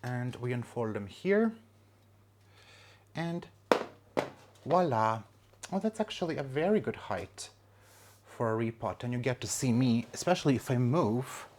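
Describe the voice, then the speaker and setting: Plant vlogger rambling at home, teasing themselves, mid pitch voice. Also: lively intonation, unhurried, long pauses, a little mellow. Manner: chatty, self-mocking, coos at plants. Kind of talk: casual home vlog. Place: home room, indoors.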